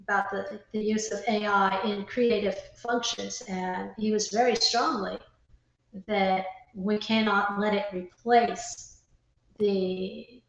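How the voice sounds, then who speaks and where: A woman guest in a casual conversation, explaining her thoughts haltingly, with pauses between phrases. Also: medium pitch, fairly even intonation. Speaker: interview guest, writer. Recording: very echoey, roomy sound.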